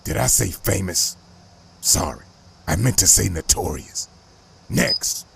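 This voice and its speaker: A man playing a gruff, suspicious robot security chief, curt, officious and sardonic. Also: low voice, expressive, takes it slowly, a bit bright, a little hiss or hum, exaggerated voice.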